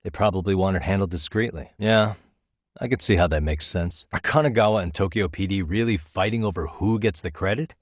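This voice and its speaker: A man's voice: sits low, a little fast, dry recording, clean studio sound. A man playing a streetwise private detective in a video game, cool, wry and dogged, with an American accent and a casual, conversational delivery. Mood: serious, probing. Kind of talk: English video game voice acting.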